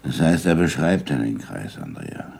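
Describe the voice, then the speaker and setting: An actor playing a gruff, impatient older scholar who teaches with deliberate, probing questions in a 1960s German radio drama. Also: male voice, very deep voice, animated, darkish tone, heavily acted. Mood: instructive, wry. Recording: noisy old radio drama recording.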